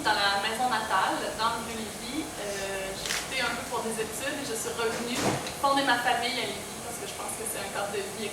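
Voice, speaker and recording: Casting: woman, higher voice, hesitant, noisy echoey far-off sound. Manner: hesitant, many fillers. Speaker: candidate and event host. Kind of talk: press conference statement. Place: press conference room.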